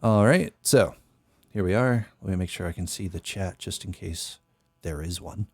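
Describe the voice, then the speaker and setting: Young male streamer, very low voice, rambling casual delivery, dry close mic. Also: normal speed, a little mellow, slightly rough, no echo. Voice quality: very deep voice. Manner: meandering, thinks aloud with many fillers. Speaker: music producer streamer. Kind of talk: casual live-stream talk. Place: small bedroom studio. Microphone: studio mic on boom arm, headphones.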